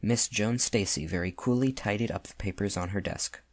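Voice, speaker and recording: A man's voice: fairly low pitch, normal speed. A man narrating classic fiction from a script as an audiobook narrator.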